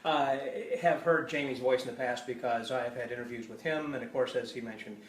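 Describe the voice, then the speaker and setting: A man's voice: mid-range voice, brisk. Older midwest guy chatting, laughs a lot, kinda far off the mic, echoey room. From Illinois, US Midwest. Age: 60s. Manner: chatty, laughs easily, restarts sentences. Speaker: podcast host being interviewed. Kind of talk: casual chat. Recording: roomy live stream from a distance, faint noise.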